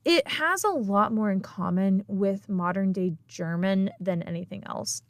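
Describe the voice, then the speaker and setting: A woman's voice: mid-range voice, measured pace, no room sound. A woman hosting a podcast and giving a scripted history lesson in a playful, emphatic way, with little laughs. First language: English. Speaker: podcast host.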